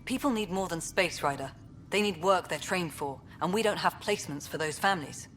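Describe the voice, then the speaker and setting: A woman's voice: on the low side, a little fast, fairly bright tone, slight background noise, lightly acted. A woman playing a practical, unsentimental colony administrator in a video game. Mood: matter-of-fact. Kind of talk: video game cutscene acting. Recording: faint background ambience.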